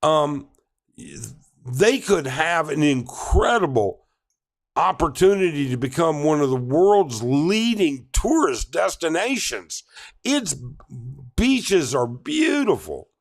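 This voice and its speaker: A man's voice: a little high, very animated, takes it slowly. A middle-aged man hosting a casual live stream, reading chat comments aloud and answering with many ums and restarts. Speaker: livestream host.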